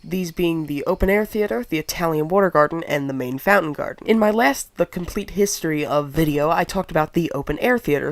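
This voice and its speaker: A man's voice: a little high, dry recording. A man with a cold narrates a history video in a nasal, congested voice. He is earnest and now and then corrects himself. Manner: earnest, occasional self-corrections. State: stuffed up from a cold. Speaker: video creator.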